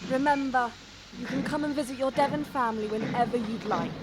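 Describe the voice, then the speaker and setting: A woman's voice: high voice, brisk, heavy background noise, natural voice. A woman acting a kindly, welcoming wartime mother in an audio play. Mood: gentle, reassuring.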